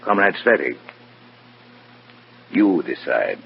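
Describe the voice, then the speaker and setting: An actor playing a grave, commanding communist comrade who speaks in terse, weighty pronouncements, in old radio drama style. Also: male voice, rumbling low, sing-song, slow, creaky, full character voice. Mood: grave, pressing. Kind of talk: mid-century radio drama acting. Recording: muffled, noisy old radio recording.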